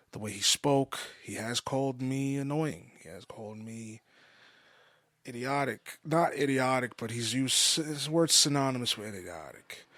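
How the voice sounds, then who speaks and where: A man in his mid twenties giving a personal podcast monologue, halting and often restating himself for emphasis. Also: mid-range voice, sharp tone, no echo. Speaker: podcast host. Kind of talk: personal monologue, confessional storytelling.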